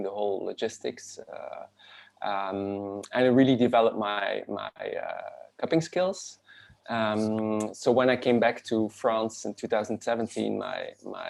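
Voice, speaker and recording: A man in his thirties from Belgium introduces himself on a video call, speaking from a room at home through a laptop mic. His voice sits low with a gravelly edge, and he talks in a loose, meandering way full of fillers and restarts. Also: darkish tone. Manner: rambling, many fillers and restarts. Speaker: panelist.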